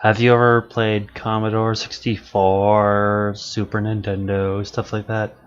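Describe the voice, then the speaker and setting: A man's voice: on the low side, flat delivery, measured pace, clean tone. A man hosting a live-stream interview, talking in a dry, matter-of-fact way. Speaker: stream host. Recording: dull lo-fi stream audio.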